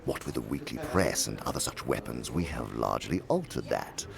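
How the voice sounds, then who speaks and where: An actor playing a sly, condescending older demon uncle who lectures pompously and theatrically in a radio drama. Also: male voice, a bass voice, animated, fairly quick, gravelly, a crowd in the background, full character voice.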